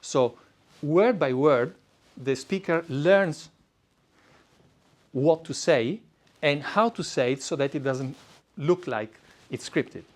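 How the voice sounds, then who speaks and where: A middle-aged man with an Italian accent presents to a live audience in an event room. His voice sits a little high for a man. He speaks in a conversational way, with plenty of "uh" fillers and restarts. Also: takes it slowly, long pauses. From Italy.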